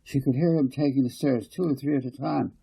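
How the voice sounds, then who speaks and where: A man's voice: mid-range voice, fairly even intonation, brisk, creaky, dark tone, breathy edge, rough recording. A man reading a story aloud as a scripted audiobook narrator. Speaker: author reading own book as narrator.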